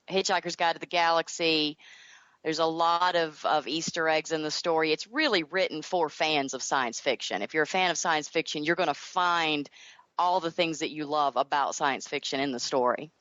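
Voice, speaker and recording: Female author guest, Southern US accent, polite and friendly, somewhat low pitch, dry recording. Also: fairly bright tone, no echo, lo-fi sound. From Southern United States. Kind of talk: friendly interview talk.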